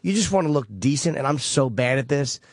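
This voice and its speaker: A man's voice: medium pitch, lively intonation, a little fast, no echo, clean studio sound. Tired self-mocking male comedian. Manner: tired, self-deprecating, repeats words. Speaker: comedian, radio co-host. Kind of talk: casual radio banter. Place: radio studio.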